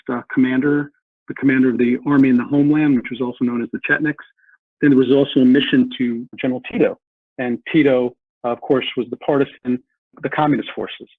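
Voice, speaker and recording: Earnest guy on a podcast, husky voice, tinny phone-line sound, dry. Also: medium pitch, no echo. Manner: earnest, repeats words while thinking. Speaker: guest. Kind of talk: podcast interview, historical explanation.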